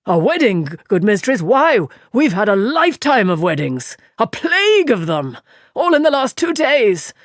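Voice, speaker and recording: Woman doing a fussy village man, squeaky and loud, rambling, nervous stammer, dry sound. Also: very animated, normal speed, no room sound. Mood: gossiping. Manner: breathless gossiping, stammering when nervous. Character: flustered, chattering middle-aged village man.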